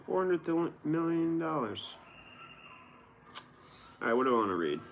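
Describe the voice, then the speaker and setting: A man's voice: a little high, big pitch swings, measured pace, creaky, fairly bright tone, lo-fi sound. Sarcastic man commenting over background noise. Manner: sarcastic, feigned astonishment. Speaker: host of a newspaper-reading show. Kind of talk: casual rambling commentary, reading news aloud.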